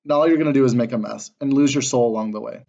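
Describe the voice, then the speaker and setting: Give me a man playing a scornful dog spirit in a read-aloud story. He jabs with mocking questions, medium pitch, recording a bit muffled. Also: rapid-fire, vocal fry, mellow, lightly acted. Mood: scolding. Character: sharp-tongued dog spirit, streetwise and scornful. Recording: dull lo-fi sound.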